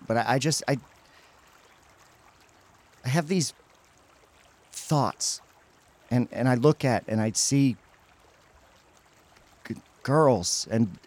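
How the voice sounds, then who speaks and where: A man's voice: mid-range voice, darkish tone, close to an everyday voice. An actor playing an anguished, devout teenage boy in an audio drama, full of self-loathing and stammering as he speaks. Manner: halting, stammering. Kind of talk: emotional audio drama acting.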